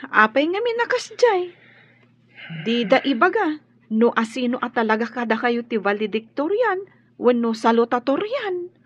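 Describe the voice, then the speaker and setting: A woman playing a caring, animated young classmate in a radio drama. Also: a little high, normal speed, muffled recording, slight background noise. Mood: eager.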